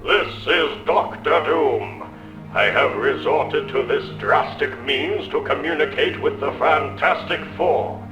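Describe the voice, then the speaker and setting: Evil comic supervillain, slow n grandiose, menacing, echoey w loud music behind. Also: male voice, on the high side, highs cut off. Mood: menacing, imperious. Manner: grandiose, deliberate, theatrical. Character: Doctor Doom, arrogant menacing male supervillain, coldly scheming. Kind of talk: dramatic comic book reading. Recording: echoing villain voice under loud music.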